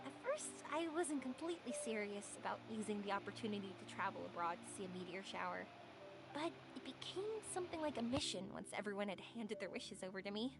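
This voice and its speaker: A woman's voice: medium pitch, big pitch swings, fast talker, rough recording. A woman playing an earnest, upbeat young woman on a journey in a video game, speaking candidly. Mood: reflective. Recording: loud game music behind the voice. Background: game music.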